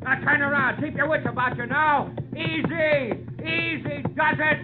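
An actor playing a harsh, bullying circus riding master, barking orders and scolding in old radio drama style. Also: male voice, extremely high pitch, steady tone, unhurried. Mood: urgent, commanding. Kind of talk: 1940s-50s radio acting. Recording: tinny old radio recording with music.

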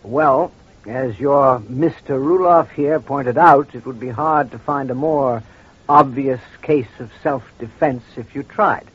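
A calm crime doctor in a mid-century radio mystery. He speaks in a husky mid-range voice, measured and soothing as he probes, on a dulled old broadcast recording. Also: medium pitch, highs cut off. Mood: coolly probing. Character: calm, probing crime doctor questioning a suspect. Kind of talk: 1940s-50s radio crime drama acting.

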